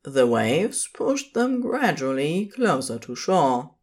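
A woman's voice: low-pitched, very animated, takes it slowly, darkish tone. A woman reading scripted fiction as the narrator of an audio story, in a dramatic storytelling style.

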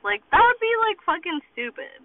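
A man's voice: very high voice, sing-song, fairly quick, slight background noise. A man hosting a podcast from his car, chatting casually and ranting animatedly, swearing freely. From Boston, United States. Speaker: podcast host. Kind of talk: casual chat. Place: parked car. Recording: tinny phone-like audio in a car.

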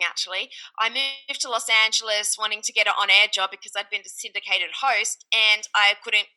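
A woman's voice: medium pitch, bright, forceful tone, rough recording. A middle-aged Australian woman with an Australian accent, a podcast agency founder telling her career story in a chatty, upbeat way. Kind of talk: conversational interview answers.

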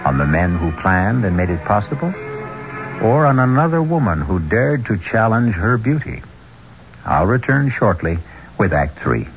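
Casting: male host-narrator for 1970s radio mystery, low and portentous, scripted, over clear music. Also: big pitch swings. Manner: measured, portentous. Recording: dull old radio recording with music.

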